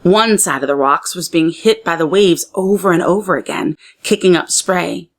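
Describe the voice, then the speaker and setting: A woman narrating a children's story aloud as a read-aloud storyteller, with expressive, deliberate phrasing meant for young listeners. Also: fairly low pitch.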